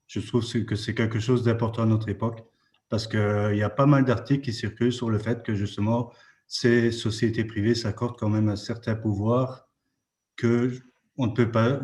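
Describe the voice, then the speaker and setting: A man's voice: fairly low pitch, darkish tone. A man talking casually as an interviewer, a little tentative as he works out his thoughts. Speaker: interviewer, channel host.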